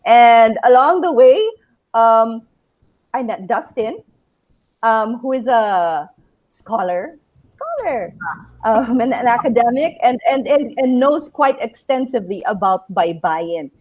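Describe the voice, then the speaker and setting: A Filipino woman in her thirties chats easily about her work. Her voice sits a little high, and she talks in loose runs broken by fillers and restarts. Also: lively intonation, slow, darkish tone. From Philippines. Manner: chatty, many fillers and restarts. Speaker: children's book author. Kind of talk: casual interview chat.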